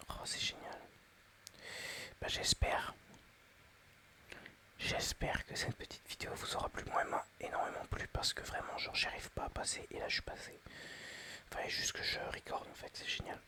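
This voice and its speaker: Excitable whispering man, breathy and bright. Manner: whispering, excitable, chatty with viewers. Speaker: mobile game player. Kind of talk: whispered ASMR gameplay commentary.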